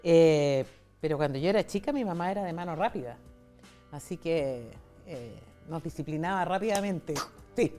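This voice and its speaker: Low-pitched Chilean woman in her sixties. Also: lively intonation, normal speed, dark tone, faint music behind. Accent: Chilean Spanish. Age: 60s. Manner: candid, conversational, retells dialogue. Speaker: interview guest, foundation founder. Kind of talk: personal storytelling in an interview.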